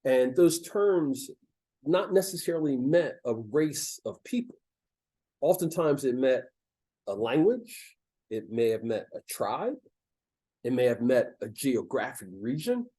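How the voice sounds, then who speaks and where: An American man hosting a talk show, speaking to his audience in a measured, halting way with emphatic stresses and a slightly crackly voice after losing it. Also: mid-range voice, sing-song, mellow. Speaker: host of a local talk show.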